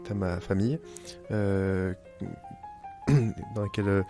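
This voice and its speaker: A young French man, an interviewee telling his story in casual conversation, pausing often with fillers and restarts. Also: on the low side, over music. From France. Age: young adult. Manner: hesitant, many fillers and restarts. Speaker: interviewee, company founder. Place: family house in the countryside.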